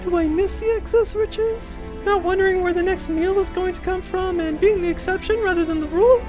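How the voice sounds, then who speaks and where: Audio drama role: young runaway noblewoman pilot, played by a woman, high voice, wry and casual, music bed. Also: fairly quick. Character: young noblewoman turned privateer pilot, breezy, blunt.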